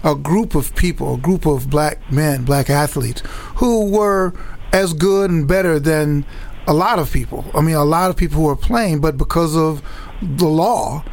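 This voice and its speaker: A man's voice: fairly high pitch, normal speed, vocal fry, darkish tone. A man from Chicago's South Side hosting an interview, talking reflectively and often repeating words as he thinks. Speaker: host.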